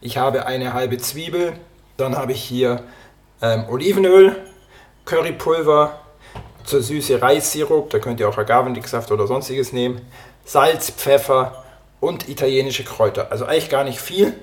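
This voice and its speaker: A man with a slightly hoarse voice from a cold, hosting a cooking podcast and chatting casually as he prepares a recipe in his home kitchen. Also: medium pitch, moderate pace. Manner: relaxed, talks while chopping and cooking. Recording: kitchen clatter and clicks behind. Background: dishes, pots and pans clattering.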